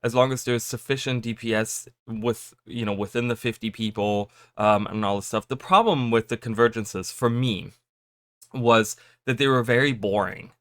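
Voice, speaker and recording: Slightly raspy man rambling casually. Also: medium pitch, normal speed, a bit bright, dry recording, clean studio sound. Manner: rambling, many fillers and restarts. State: slightly raspy from just waking. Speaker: gaming YouTuber. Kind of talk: casual chat to camera.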